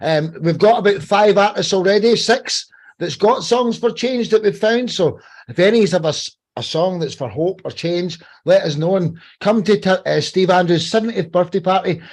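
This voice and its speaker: A man hosting a live podcast in a casual, informal and enthusiastic way. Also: sits high. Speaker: podcast host.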